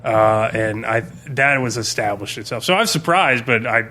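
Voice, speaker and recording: A man's voice: mid-range voice, expressive, moderate pace, pushed and bright. A man talking casually on a podcast as a game master, rambling through stories and swearing freely.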